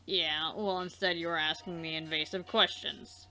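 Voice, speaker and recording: A woman's voice: sits low, fairly even intonation, normal speed, sharp tone. A woman voicing a blunt, defensive young female alien cleaner in an amateur visual novel dub, curt and foul-mouthed. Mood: irritated. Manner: irritable, curt, profane.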